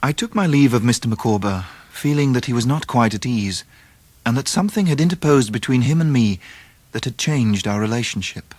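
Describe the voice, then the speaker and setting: An actor playing an earnest, polite young Victorian English gentleman in a radio drama. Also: medium pitch, lively intonation, a little fast, no echo, close to an everyday voice. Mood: reflective. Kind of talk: radio drama narration and dialogue.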